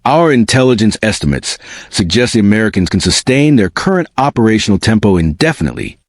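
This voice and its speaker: A stern German general gives orders quietly, with no emotion in his voice, read by a male narrator. The voice sits low, and the recording is dry. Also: big pitch swings, quick pace, no room sound. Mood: cold.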